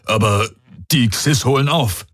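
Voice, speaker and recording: Man playing an urgent, higher-pitched spaceship crewman. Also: a little slow, a bit bright, dry recording. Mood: alarmed, urgent. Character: alarmed male spaceship crewman reporting urgently.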